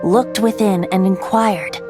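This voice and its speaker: A woman's voice: medium pitch, steady tone, slow, over music, natural voice. A woman voicing a playful young male bard who tells tales in a lilting, lyrical way. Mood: storytelling. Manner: lilting, teasing, then lyrical storytelling. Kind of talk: video game voice acting.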